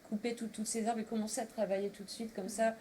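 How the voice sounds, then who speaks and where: A woman's voice: fairly high pitch, little pitch movement, quick pace, warm tone. A woman of thirty-two from northern France, talking casually as a guest in a discussion. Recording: faintly noisy room recording.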